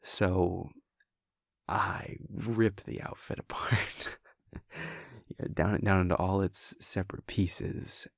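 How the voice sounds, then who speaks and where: A young man playing a laid-back, wry vampire prince boyfriend in an intimate audio roleplay, telling a story in a hushed, halting, amused way. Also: deep voice, gravelly, no echo, clean studio sound, full character voice. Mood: smug, amused. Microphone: close, dry studio microphone.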